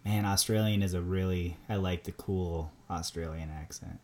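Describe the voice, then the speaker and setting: Laid-back Minnesotan man, low voice. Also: fairly even intonation, normal speed, a little mellow. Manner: easygoing, laughs often, repeats words when starting sentences. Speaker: podcast co-host. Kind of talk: casual chat.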